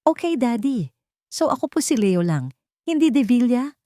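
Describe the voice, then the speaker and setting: A woman's voice: a little high, sing-song, moderate pace, warm tone, dry recording, studio quality, voice pushed up. A woman voicing a curious, eager young boy in a spoken storybook.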